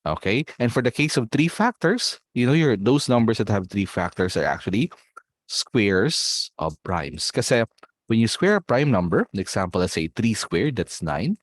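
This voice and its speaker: A man's voice: lowish voice, very animated, normal speed. A man with a Filipino accent teaching a math olympiad class online, explaining step by step and often checking whether students follow. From Philippines.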